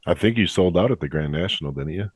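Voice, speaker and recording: A young man hosting a podcast, chatting in a relaxed, upbeat, conversational way. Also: low-pitched, fast talker, slightly rough, no echo. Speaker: podcast host. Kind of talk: casual podcast chat.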